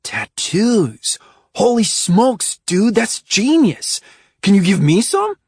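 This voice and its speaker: A woman voicing a brash, excitable young superhero man who blurts things out loudly. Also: fairly high pitch, measured pace, slightly rough, no echo, studio quality, pitched above the performer's own voice, heavily acted. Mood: excited.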